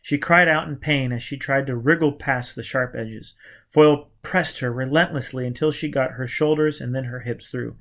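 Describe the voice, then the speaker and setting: Man narrating a novel, mid-pitched. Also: steady tone, moderate pace, a bit bright. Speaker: audiobook reader. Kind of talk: scripted dramatic narration of a novel.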